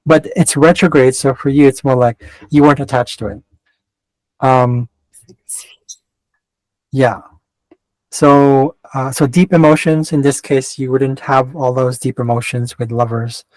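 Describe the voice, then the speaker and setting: A man's voice: medium pitch, fairly even intonation, unhurried, pauses often, a little mellow, no echo, poor audio. A man with a mixed Canadian and West Coast American accent giving a casual astrology chart reading, rambling and often interrupting himself. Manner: rambling, self-interrupting, digressive.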